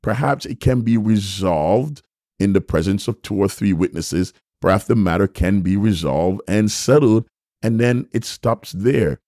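A middle-aged man giving sermon-like Bible teaching, speaking deliberately and repeating his points for emphasis, close to a microphone. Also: on the low side, a little mellow, no echo. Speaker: bishop and host of a church teaching show. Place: small furnished room, indoors. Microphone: microphone on a stand, close in front.

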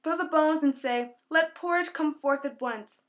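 Storybook old man, solemn and slow, fairly high-pitched, read by a woman. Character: dying old man, kindly magic giver.

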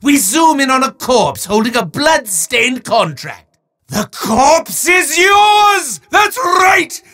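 An actor playing a maniacal, bombastic male evil wizard in a video game, grandiose and theatrical, with booming declarations and quicker asides. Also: very animated, pushed and bright, shouting, exaggerated voice. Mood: hammy, blustering.

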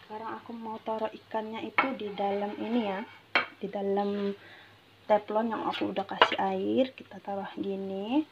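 A woman's voice: medium pitch, level tone, normal speed, muffled recording. A woman from Indonesia gives a casual, unscripted cooking tutorial in a chatty, repetitive way, dropping in English words. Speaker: home cooking vlogger. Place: home kitchen, indoors. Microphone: handheld phone behind the camera. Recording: noisy kitchen with frying sizzle. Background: frying sizzle, stir noises.

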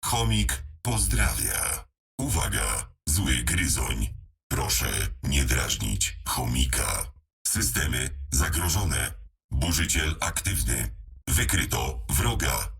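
A war-machine computer from a video game, with an extremely low and very husky voice. It delivers terse tactical reports with slow, deliberate robotic phrasing. The sound is processed and machine-like. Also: male voice, sing-song, fairly bright tone, recorded from a distance, heavily acted. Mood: coolly commanding. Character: robotic battle mech computer speaking for a hamster pilot. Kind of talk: clipped military status announcements.

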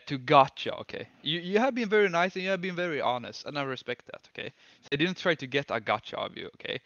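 A man hosting a heated casual debate over a voice call, insistent and quick to cut others off with repeated words. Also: on the high side, bright, forceful tone, dry recording, muffled recording. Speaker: debate host. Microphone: voice call mic.

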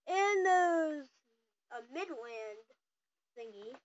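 A casual, excitable host chatting through a home unboxing, quick to argue and interrupt. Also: high-pitched. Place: small room at home, indoors. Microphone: handheld camera or phone. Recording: noisy, dull handheld camera audio.

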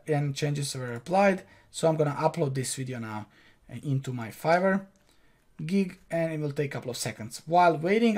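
A man's voice: a little high, a little mellow. A young man from Croatia with a Croatian accent, walking through a screen-share tutorial in a casual, filler-heavy way from his home office. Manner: casual, many fillers and restarts. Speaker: online course instructor.

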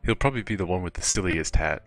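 A man acts out a dutiful knight in a dubbed role-playing game. The voice is very deep, measured and dry, explaining things practically over soft music. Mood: dry, instructive. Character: dutiful adult male knight giving practical guidance. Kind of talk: fan dub of role-playing game dialogue. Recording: music behind the voice.

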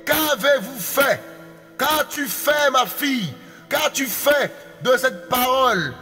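Very high-voiced man chanting prophecy. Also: expressive, slow pace, sharp tone. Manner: intense, repetitive declaiming, chanting at times. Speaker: prophetic preacher. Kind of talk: prophetic utterance with speaking in tongues. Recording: long hall reverb, faint music behind.

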